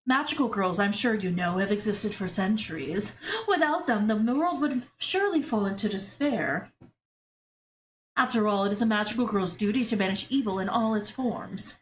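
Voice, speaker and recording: A woman's voice: medium pitch, normal speed, slight background noise, natural voice, poor audio. A woman voicing a proud, formal female history teacher at a school for magical girls, lecturing her class. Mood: earnest lecturing. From Brazil, as the figure.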